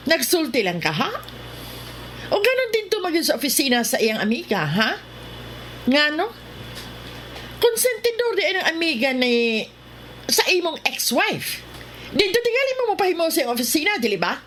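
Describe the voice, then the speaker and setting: A woman's voice: high voice, very animated, normal speed, long pauses, a bit bright. A woman playing a jealous, hot-tempered wife in a melodramatic radio drama, accusing and confrontational. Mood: accusing, angry. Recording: noisy radio drama recording.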